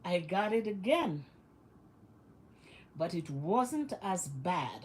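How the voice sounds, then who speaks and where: A woman's voice: on the low side, sing-song, takes it slowly, a little hiss or hum. A West Indian woman talking casually to her vlog viewers, sounding congested and nasal from illness and repeating phrases for emphasis. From West Indies. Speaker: vlogger. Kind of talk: casual monologue to camera.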